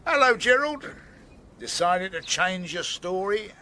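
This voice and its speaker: A sharp-voiced, blustering British police inspector. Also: male voice, sits high, sing-song, a little slow, slight background noise, exaggerated voice. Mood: sardonic. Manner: blunt, sarcastic, pompous. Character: rude, boastful, grumbling male police chief inspector. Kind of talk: British radio drama acting.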